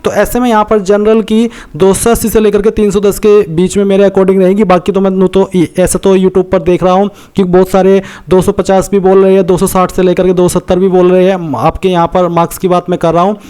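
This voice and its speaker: An Indian man with a high-pitched, smooth and clear voice presents an informal online explainer. He speaks straight to his viewers in a conversational way, circling back over his points and mixing in English words. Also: flat delivery, moderate pace, hardly any pauses, dark tone. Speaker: YouTube host giving exam information.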